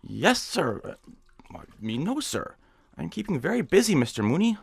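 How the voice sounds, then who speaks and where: A meek, whiny male office worker in a comic audio drama. The voice sits fairly high, with lively swoops and a noticeable creak. He hesitates and complains like someone forever feeling sorry for himself. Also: normal speed, no echo, clean studio sound, heavily acted. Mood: nervous, meek.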